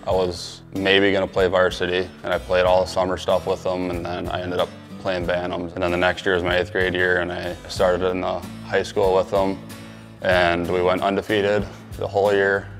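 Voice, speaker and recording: Low, flat-voiced young Midwestern man. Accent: American Upper Midwest. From rural southern Minnesota, USA. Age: young adult. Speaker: college hockey player. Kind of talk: casual documentary interview. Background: guitar music bed.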